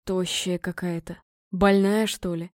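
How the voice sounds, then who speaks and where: A scruffy, uncouth beggar man, put on by a woman narrator, speaks in a hoarse bleat. He is cheeky and haggling, as in a storybook, recorded dry. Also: lowish voice, flat delivery, a little slow, a little mellow, no room sound, voice pushed down, natural voice. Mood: cheeky, critical.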